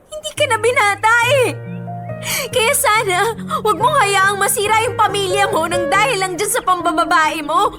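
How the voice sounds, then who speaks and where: A woman's voice: very high voice, normal speed. A woman playing a hurt wife who tearfully pleads with her cheating husband in a radio drama. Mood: pleading, warning. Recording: music behind the voice.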